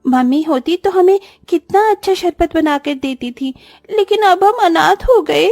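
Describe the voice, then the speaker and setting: A very high, mellow little girl's voice, acted by a woman for a cartoon story. She is a poor younger sister who complains and pleads plaintively. The recording is close and dry. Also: animated, a little slow, dry recording, exaggerated voice. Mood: whiny, plaintive. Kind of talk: Hindi cartoon story voice acting.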